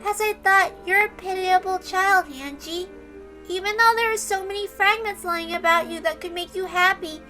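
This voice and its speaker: Very high, serene little girl. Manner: measured, reasoning, gently lecturing. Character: serene nine-year-old girl, earnest, gently preachy, unshakably optimistic. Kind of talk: dramatic reading of a visual novel.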